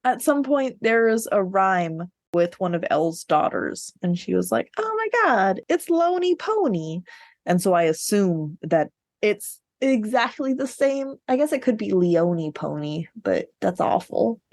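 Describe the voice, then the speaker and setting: A woman's voice: medium pitch, sing-song, unhurried, darkish tone, no echo. A woman co-hosting a podcast, talking casually and bluntly about books with strong opinions. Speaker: podcast co-host. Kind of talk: casual book discussion.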